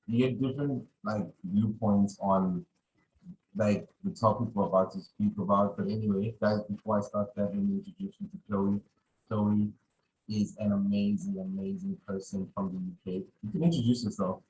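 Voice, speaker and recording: A man's voice: on the low side, fairly even intonation, moderate pace, a little mellow, a little hiss or hum, rough recording. A man hosting a podcast in casual conversation, rambling and often repeating his phrases. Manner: rambling, repeats phrases, many "you know". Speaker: podcast host.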